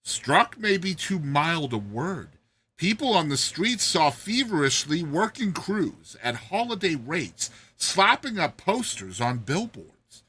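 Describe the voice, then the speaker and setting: Animated, bright-voiced man reading a story. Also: a little high, slightly rough. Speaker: narrator, story reader. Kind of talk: dramatized audiobook narration.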